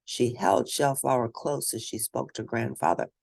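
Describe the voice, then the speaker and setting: A woman reading a children's story aloud and discussing it in an expressive, teacherly manner. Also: very deep voice, normal speed, vocal fry, dry recording. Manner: expressive, teacherly, asks questions of listeners. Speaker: storybook reader.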